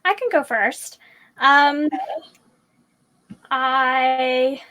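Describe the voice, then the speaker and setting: A woman in a casual book discussion, giving her opinions as a panelist in a halting, informal way, thinking aloud. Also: high-pitched, sharp tone.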